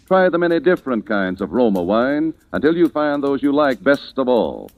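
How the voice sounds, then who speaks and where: Male sponsor announcer, 1940s radio ad style, very animated melody, smooth sales delivery, lo-fi sound. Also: mid-range voice, no echo. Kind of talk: 1940s radio commercial, scripted. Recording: dull old 1940s radio recording.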